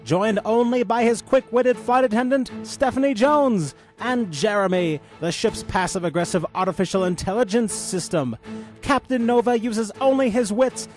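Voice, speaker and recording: A man playing an excitable, theatrical announcer narrating a 1950s-style radio adventure serial for children. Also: high voice, moderate pace, soft background music, close to an everyday voice. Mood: rousing. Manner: theatrical, dramatic.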